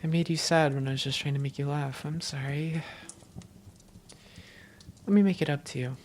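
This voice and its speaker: A woman's voice: sits low, fairly even intonation, normal speed, a little mellow, a little hiss or hum, close to an everyday voice. A woman playing an affectionate, teasing young vampire girlfriend in an intimate roleplay audio, playful and tender with her partner. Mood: tender, soothing.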